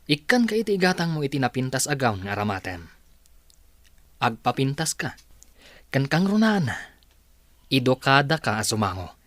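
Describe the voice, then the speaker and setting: A man's voice: medium pitch, big pitch swings, moderate pace, long pauses, fairly bright tone, no echo. A man acting as a demanding young rich heir in an Ilocano radio drama, with an Ilocano accent. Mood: instructing, bossy.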